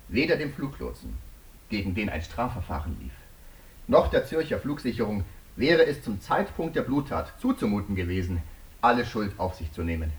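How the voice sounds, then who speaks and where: A man's voice: lowish voice, recorded from a distance, low-quality recording. An actor in a German radio drama playing a stern, indignant male prosecutor who speaks formally and emphatically.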